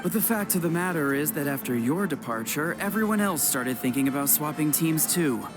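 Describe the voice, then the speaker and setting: A man's voice: on the high side, lively intonation, brisk, over music, lightly acted. A man playing a proud, stern team leader in an anime-style game.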